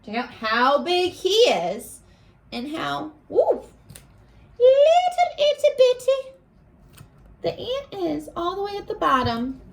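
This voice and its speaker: A woman teacher leading a read-aloud lesson for young children in an animated way, asking questions and pausing for answers. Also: extremely high pitch, gravelly. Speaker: primary school teacher.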